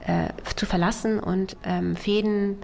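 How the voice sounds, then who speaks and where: A woman's voice: medium pitch, fairly even intonation, unhurried, creaky, a little mellow, a little hiss or hum. Hesitant, thoughtful woman novelist. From Germany, child of Soviet immigrants. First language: Russian. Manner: thoughtful, hesitant, many fillers and restarts. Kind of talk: literary interview.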